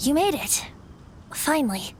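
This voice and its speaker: A woman's voice: high voice, big pitch swings, unhurried. A woman voicing a youthful male bard, an ancient wind spirit in an anime-style game, breezy and theatrical. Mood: sheepish, breezy. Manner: playful, theatrical, gently storytelling.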